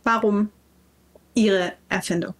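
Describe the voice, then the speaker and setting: A woman playing a brisk, polite Imperial official in a sci-fi roleplaying game, formal and curtly dismissive. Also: mid-range voice, steady tone.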